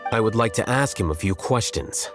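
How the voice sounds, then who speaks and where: A man playing an aloof, coolly logical young scholar in high office in a game, speaking in a dry, articulate way. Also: lively intonation, moderate pace, natural voice. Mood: cool questioning. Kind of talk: measured game dialogue acting. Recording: music clearly behind the voice.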